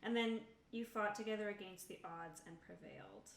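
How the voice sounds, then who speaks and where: A woman's voice: medium pitch, normal speed. A woman talking casually as a player working out her character in a tabletop roleplaying game. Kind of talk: casual table talk while creating a character. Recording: roomy echo, distant mic, noisy background.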